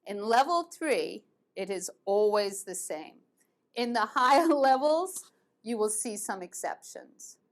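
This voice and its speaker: A woman's voice: mid-range voice, animated, measured pace. A woman teaching an online English grammar lesson, patiently repeating verb forms. She grew up between the United States and England.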